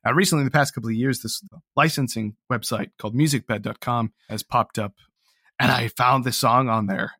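A man with a mid-pitched voice talks as an interview guest in a close, dry recording. He is reflective and casual, earnest and a little self-deprecating, often restarting his phrases. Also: brisk, bright, forceful tone, slightly rough, no room sound. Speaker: interview guest, audio drama writer.

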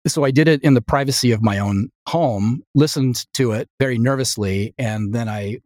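A man in his fifties from the United States, an interview guest talking casually and reflectively, often halting and quoting himself as he tells a story. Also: mid-range voice, darkish tone, no echo, clean studio sound. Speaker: interview guest, writer and audio drama creator.

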